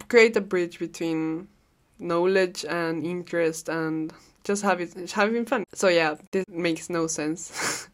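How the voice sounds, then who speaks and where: Chatty Peruvian woman, lowish voice. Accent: Peruvian Spanish. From Peru. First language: Spanish. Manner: chatty and rambling, laughs often, swears casually. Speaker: podcast host. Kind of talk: casual solo podcast monologue.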